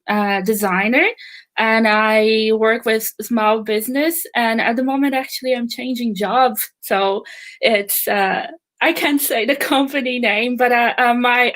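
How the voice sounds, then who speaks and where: Woman, higher voice, panel guest, speaking from an attic room. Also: a bit bright. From Brazil. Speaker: panelist, product designer. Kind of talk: casual self-introduction.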